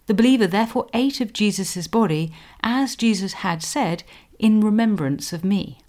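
A woman presenting a scripted history explainer in a measured, scholarly manner, giving emphasis to quotations. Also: medium pitch, a little mellow. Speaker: podcast host, historian.

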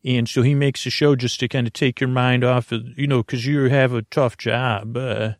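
A man with a creaky voice hosting a bedtime podcast in a soothing, meandering monologue that halts and loses its thread. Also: mid-range voice, fairly even intonation, no echo, clean studio sound. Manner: meandering, halting, losing his thread; soothing, lulling. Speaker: podcast host.

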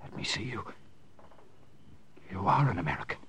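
Actor as a weak, halting captive flyer. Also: male voice, on the low side, animated, a crowd in the background, close to an everyday voice. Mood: dazed, disbelieving. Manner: halting, faint, breathy stammer. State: weak and exhausted. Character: weak, dazed, desperate imprisoned American flyer. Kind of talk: 1940s radio drama acting.

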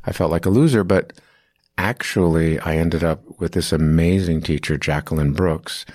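A man's voice: deep voice, moderate pace, a little mellow, no echo. A man in his fifties, an acting teacher, telling long stories in an interview, rambling with restarts and fillers. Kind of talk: casual interview storytelling.

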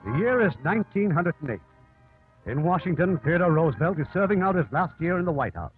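A man's voice: fairly high pitch, very animated, brisk. A man hosting and narrating a 1950s radio drama in scripted style. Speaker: host and narrator. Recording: narrow old radio broadcast with music.

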